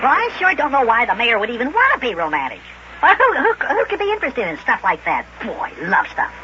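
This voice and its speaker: A man playing a small, innocent, excitable boy who exclaims and stammers in 1950s children's radio style. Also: very high-pitched, fairly quick, background noise, exaggerated voice. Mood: disgusted. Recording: muffled old radio recording with music.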